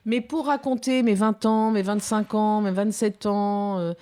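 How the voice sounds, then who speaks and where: A woman's voice: medium pitch, fairly even intonation, a little slow, dark tone. A woman in her fifties, a documentary filmmaker, giving reflective interview answers with many hesitations and restarts. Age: 50s.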